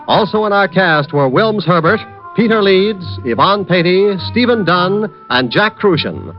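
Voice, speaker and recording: Formal old-time radio announcer, man. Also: high-pitched. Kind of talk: scripted show announcement. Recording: dull lo-fi 1950s radio broadcast.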